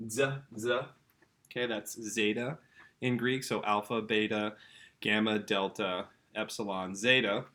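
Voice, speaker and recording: Low-voiced man teaching casually at a board. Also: a little slow. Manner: relaxed and chatty, self-correcting while writing on a board. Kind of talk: casual classroom video lesson.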